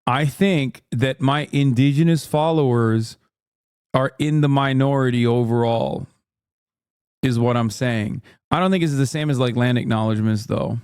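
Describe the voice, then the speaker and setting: A man's voice: mid-range voice, normal speed, darkish tone, clear, resonant tone, no room sound. Guy streaming casually, rambly and sweary, kinda exasperated, really clean close dry sound. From United States. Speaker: streamer reacting to a video. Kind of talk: casual live-stream commentary.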